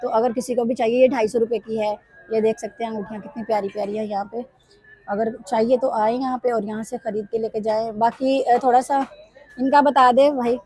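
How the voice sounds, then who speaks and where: A woman vlogger, hoarse from a sore throat, walking through market stalls and pitching bargains to her viewers. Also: fairly even intonation, brisk, darkish tone, low-quality recording. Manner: repeatedly addresses viewers, upbeat sales pitch. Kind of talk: casual walkthrough commentary. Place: busy weekly market stalls.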